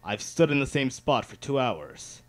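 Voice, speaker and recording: A man's voice: medium pitch, big pitch swings, moderate pace. A man reading first-person fan fiction aloud as an amateur dramatic narrator.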